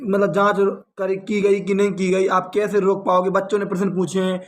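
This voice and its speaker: A man with a distinctly high-pitched voice and a North Indian accent from Uttar Pradesh. He speaks like a coaching teacher walking students through an update informally. He often rephrases his points and throws in rhetorical questions. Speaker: exam coaching teacher and channel host.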